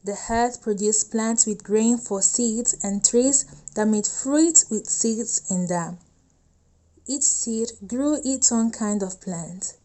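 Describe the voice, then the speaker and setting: A woman's voice: on the high side, slow, dark tone. A woman giving a scripted reading of a scripture story. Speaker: volunteer scripture reader.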